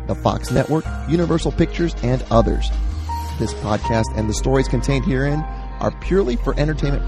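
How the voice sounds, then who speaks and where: Man announcer reading over clear music. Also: mid-range voice. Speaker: podcast announcer. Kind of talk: scripted disclaimer announcement.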